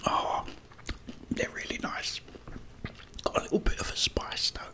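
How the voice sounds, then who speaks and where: A man speaking in a breathy whisper, chatting and telling casual stories in a halting way as an ASMR host while eating. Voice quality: breathy, mostly unvoiced whisper.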